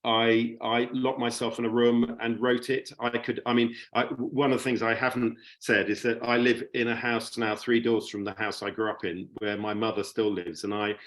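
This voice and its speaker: A middle-aged man speaking as a panel guest, recalling personal memories in a halting way with restarted phrases. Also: mid-range voice, little pitch movement, fairly bright tone. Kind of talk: reflective personal recollection.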